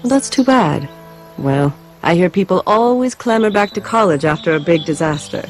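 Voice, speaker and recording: An actress playing a gentle, weary adult mother in a video game, speaking softly and reflectively. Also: lowish voice, expressive, moderate pace, soft background music, close to an everyday voice. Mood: wistful. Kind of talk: naturalistic video game acting.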